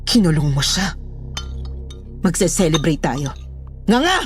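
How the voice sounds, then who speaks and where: A woman's voice: low voice, big pitch swings, measured pace. An unhinged, menacing grown daughter played by a woman in a horror audio drama. Her voice swoops widely between eerie sweetness and theatrical fury, over a music bed. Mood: taunting, menacing.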